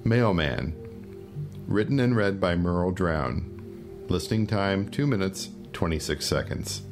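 A man's voice: deep voice, expressive, measured pace, over music. A man reading scripted announcements as the host of an audio podcast. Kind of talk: scripted narration.

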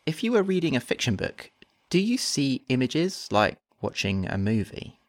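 A man hosting a conversation, calmly guiding his guest through imaginative exercises with slow, listed prompts. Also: medium pitch, no room sound, studio quality. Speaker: host.

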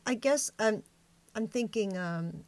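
A woman's voice: mid-range voice, expressive, slow, a little mellow, dry recording. A woman hosting a reflective interview, thinking aloud in a tentative, searching way. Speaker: podcast host, interviewer.

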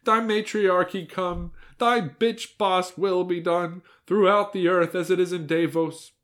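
A man doing a gushing falsetto grandmother. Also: fairly even intonation, measured pace, fairly bright tone. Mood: fervent. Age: older. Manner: high fervent falsetto, prayer-like recitation. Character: elderly grandmother confessing, gushing and fervent.

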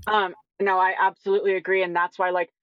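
A woman's voice: medium pitch, flat delivery, fast talker, highs cut off. A woman in her twenties talking earnestly as a guest in a conversational discussion, often saying "like". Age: 20s. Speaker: guest, detransition advocate.

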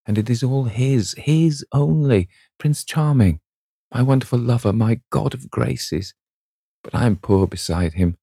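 A man's voice: mid-range voice, measured pace, vocal fry, mellow, husky, dry recording, clean studio sound, voice pushed up. A man voicing a young actress, dreamy and lovestruck, speaking in a breathless, rapturous way.

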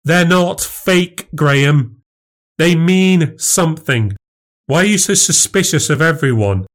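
A man's voice: mid-range voice, fairly even intonation, a little slow, natural voice. A man voicing an indignant, sincere wife in audiobook dialogue, exasperated and defensive.

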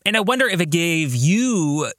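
A man hosting a podcast, giving reflective book club commentary in a deliberate way and putting rhetorical questions to the listener. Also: a little high, sing-song, a bit bright, no room sound, studio quality. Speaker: podcast host and author.

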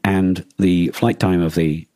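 A man's voice: low-pitched, level tone, slow pace, dark tone, no room sound. A man co-hosting a podcast, talking casually in a thoughtful, explanatory way with some hesitation. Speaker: podcast co-host. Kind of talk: casual discussion.

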